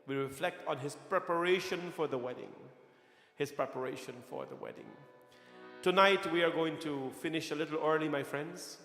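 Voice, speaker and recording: A middle-aged Guyanese-accented man delivers an earnest sermon, repeating key phrases and tossing questions to the congregation. His voice sits a bit high for a man. It rings through a reverberant temple hall. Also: a bit bright. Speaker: temple pastor.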